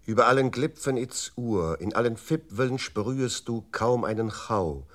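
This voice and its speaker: An actor playing a computer voice in a radio play, reciting verse formally with careful diction. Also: male voice, medium pitch, lively intonation, a little slow, lightly acted. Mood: formal, poetic.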